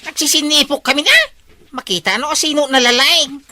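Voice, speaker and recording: A woman with an Ilocano accent playing a brisk, cheeky member of a village night watch in a radio comedy. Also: sits high, sharp tone, no room sound. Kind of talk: comedy radio drama acting.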